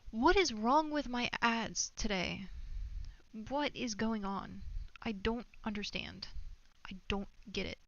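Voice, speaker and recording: A woman's voice: a little high, slow pace, a bit bright, lo-fi sound. A woman livestreaming a game with casual chat, rambling and muttering asides to herself and her viewers. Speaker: livestream host. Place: small stuffy room indoors with window closed.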